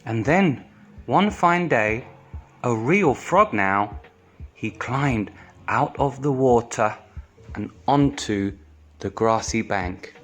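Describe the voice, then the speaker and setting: A man reading a children's picture book aloud as storytime reader, deliberate and pausing between short phrases. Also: medium pitch, animated, fairly bright tone, soft background music.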